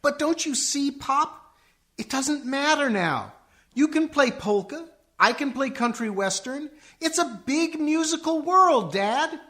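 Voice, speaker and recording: Man acting as young musician son, radio comedy: earnest, melodramatic, very animated and bright. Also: very high voice, takes it slowly, heavily acted. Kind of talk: comic radio play acting.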